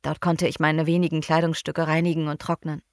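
A woman narrating a first-person novel in German, calm and measured. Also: sits low, no room sound, studio quality. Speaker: audiobook narrator.

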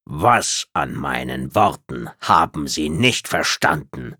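A man voicing a cold, formal female reptilian housekeeper who speaks slowly and condescendingly. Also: extremely low pitch, animated, bright, forceful tone, slightly rough, no echo, studio quality, pitched below the performer's own voice, heavily acted. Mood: icy. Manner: slow, icy, condescending.

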